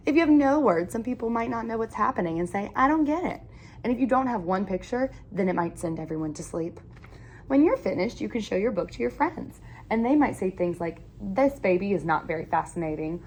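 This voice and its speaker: A woman reading a children's picture book aloud in an animated, expressive storytelling manner with emphatic phrasing. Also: mid-range voice, fairly quick, slight background noise.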